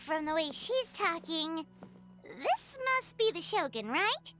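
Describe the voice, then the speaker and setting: A woman voicing a small, excitable, suspicious childlike fairy companion in an animated video game. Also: very high-pitched, slow pace, music in the background, exaggerated voice. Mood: curious, wary. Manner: speaks of herself in the third person, exclamatory.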